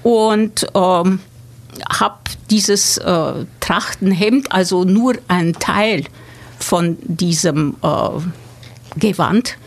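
A woman's voice: on the low side, drawn-out words. A woman from Romania, a guest in a casual interview, speaking hesitantly with many fillers. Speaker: guest, exhibition contributor.

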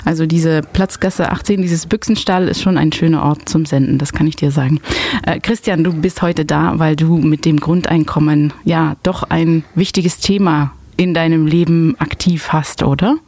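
A woman's voice: deep voice, fairly even intonation, moderate pace, mellow, dry recording. A woman who is not a native German speaker, hosting a radio talk show from a small padded studio, talking in a hesitant way with many fillers. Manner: hesitant, many fillers, laughs at own word choices. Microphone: studio microphone.

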